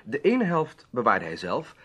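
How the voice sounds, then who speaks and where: A man's voice: mid-range voice, huge pitch swings, measured pace, a bit bright, slightly rough, exaggerated voice. An actor playing an earnest, bold adventurer telling his story emphatically in a Dutch radio drama.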